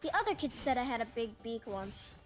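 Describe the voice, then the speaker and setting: A woman's voice: a little high, big pitch swings, fairly quick. A woman voicing an imaginative, playful seven-year-old boy adventurer in a video game, earnest and whimsical. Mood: curious. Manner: earnest, whimsical, childlike storytelling. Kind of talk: video game character voice lines. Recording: game clips with music behind.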